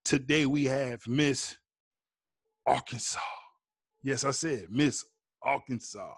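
A man's voice: medium pitch, slow pace, husky, no echo, clean studio sound. A man hosting a casual comedy interview, bantering in playful, slangy talk. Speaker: host, comedian.

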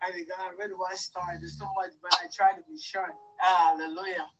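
A woman from Guyana giving a halting, emotional testimony to her church. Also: fairly low pitch, flat delivery, distant mic, muffled recording, a little hiss or hum. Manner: hesitant, halting, with fillers.

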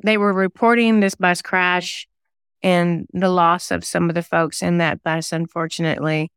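A woman's voice: on the low side, little pitch movement, moderate pace, clean tone, dry recording, clean studio sound. A woman talking reflectively as an interview guest, thoughtfully telling personal anecdotes about creative work. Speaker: singer-songwriter and novelist guest; former radio DJ.

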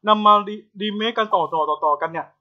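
A man's voice: sits high, a little fast. A man co-hosting a podcast in casual chat, on a slightly dull, lo-fi connection. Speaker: podcast co-host.

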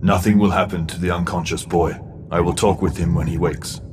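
A man voices a gruff alien warrior for a sci-fi audio drama. His voice is very low and his speech blunt and formal. It rings in a long reverb over clear noise. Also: steady tone, fairly quick, lightly acted. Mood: firm, defiant. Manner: formal, blunt, weary of talk. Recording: noisy, long hall-like reverb.

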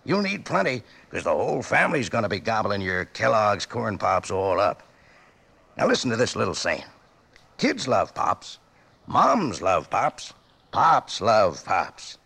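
A man playing a jolly old cowboy pitchman in a 1950s radio advertisement, raspy, with an American Western drawl. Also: big pitch swings, unhurried, bright, forceful tone, exaggerated voice. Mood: jolly, selling. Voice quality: raspy, gravelly.

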